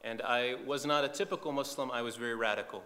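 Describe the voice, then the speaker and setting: A man's voice: mid-range voice, quick pace, sharp tone. Man preaching in a long-reverb hall. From eastern Saudi Arabia. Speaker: visiting preacher. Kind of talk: sermon testimony in short phrases for an interpreter.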